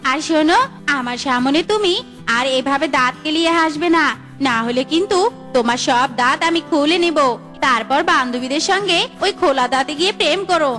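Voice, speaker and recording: A woman voicing a sharp-tongued, bossy fox wife and mother in a cartoon, with a scolding, teasing manner. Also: high-pitched, a little fast, soft background music. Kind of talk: Bengali cartoon voice acting.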